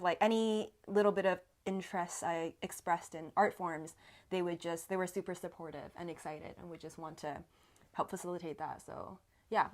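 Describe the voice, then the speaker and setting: A woman from northern Taiwan speaking as an interview guest in a casual, conversational storytelling style. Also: on the low side. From rural northern Taiwan. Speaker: guest, multidisciplinary artist.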